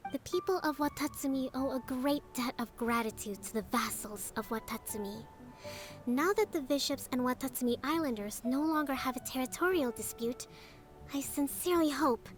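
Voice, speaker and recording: A woman playing a gentle, composed young priestess leader in a video game, speaking in a measured, formal and polite way. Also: sits high, close to an everyday voice. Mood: solemn. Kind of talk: video game acting, expository dialogue. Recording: soft game music behind the voice.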